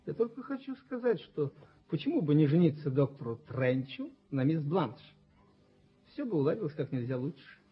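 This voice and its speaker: Radio play role: wheedling, self-made schemer, higher-pitched husky man, coaxing and familiar; muffled old recording. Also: big pitch swings, moderate pace. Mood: sly, apologetic. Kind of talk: Soviet radio play acting.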